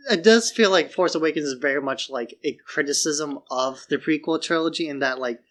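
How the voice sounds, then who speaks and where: A man's voice: a little high, brisk. A man co-hosting a podcast, musing informally about films and often dropping "like" into his sentences. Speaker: podcast co-host. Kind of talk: casual film discussion.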